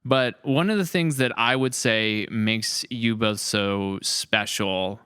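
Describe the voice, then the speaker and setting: Bright, clear-voiced man hosting a podcast. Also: on the low side, takes it slowly, dry recording, studio quality. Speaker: podcast host and series creator. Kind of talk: casual podcast interview.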